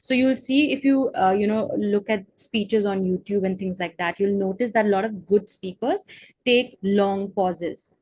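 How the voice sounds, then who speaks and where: A woman's voice: medium pitch, moderate pace, a little mellow, no echo. A woman, working as a tutor, giving encouraging feedback over a video call and often saying "you know". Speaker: English tutor. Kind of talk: conversational teaching with feedback.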